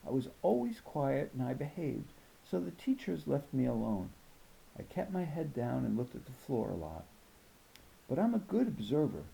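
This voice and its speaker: A man reads a children's novel aloud as a storyteller. His voice is very soft-edged and warm, with some gravel in it. The delivery moves up and down expressively from phrase to phrase. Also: medium pitch, big pitch swings, moderate pace, rough recording. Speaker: audiobook reader.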